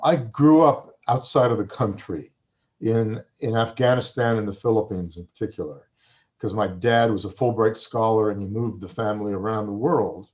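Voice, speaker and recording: A man whose first language was Farsi, talking as an interviewed author. He speaks reflectively and often pauses to restart his sentences. The recording sounds a little dull and lo-fi. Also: fairly low pitch, darkish tone.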